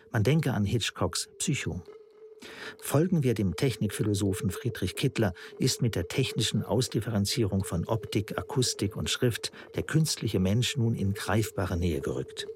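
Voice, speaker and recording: A man's voice: on the low side, lively intonation, moderate pace, slight background noise. A man reading a scripted literary radio essay as its narrator.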